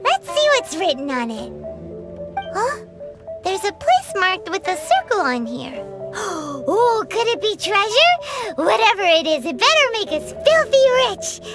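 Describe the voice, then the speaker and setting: A bubbly, greedy little fairy companion, piping in a very high voice that leaps about. She drawls slowly and calls herself by her own name. Game music plays behind her. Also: very animated, heavily acted. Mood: curious. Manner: bubbly, speaks of herself in third person. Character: tiny floating female fairy companion, chirpy and greedy. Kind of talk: video game dialogue.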